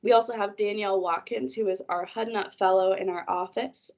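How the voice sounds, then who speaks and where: A woman presents a webinar over a video call, her voice congested from a cold. She keeps an organized manner as she guides listeners through her slides, at a middle pitch. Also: steady tone, brisk, a little mellow, lo-fi sound. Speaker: project manager presenting a webinar.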